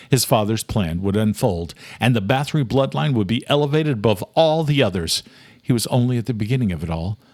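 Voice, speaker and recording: A man reading a scripted audiobook as narrator, telling the story in a dramatic, measured way. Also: medium pitch, no room sound, clean studio sound.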